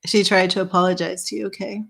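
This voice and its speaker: A woman reading tarot cards on a live stream. She chats casually and thinks aloud, and her voice is getting worn and a little hoarse so she keeps clearing her throat. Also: medium pitch, little pitch movement, fast talker, a bit bright. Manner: chatty, thinking aloud, with small laughs. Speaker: tarot reader.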